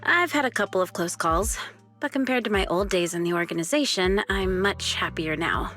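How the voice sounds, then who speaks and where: A woman's voice: mid-range voice, moderate pace, a bit bright, close to an everyday voice. A woman voicing a confident, friendly female tech expert in a video game, casual and wry, like an old comrade. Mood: warm, relaxed. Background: music.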